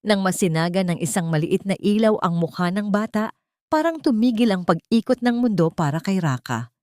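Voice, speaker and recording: A woman's voice: mid-range voice, animated, brisk, darkish tone, no room sound, clean studio sound. A woman narrating an audiobook in a dramatic, scripted storytelling style.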